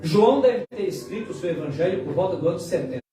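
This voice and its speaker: A Brazilian man in his late fifties preaching a sermon as a pastor, using dramatic pauses and repetition for emphasis. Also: sits high, lively intonation. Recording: very echoey hall, distant mic, music behind. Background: music.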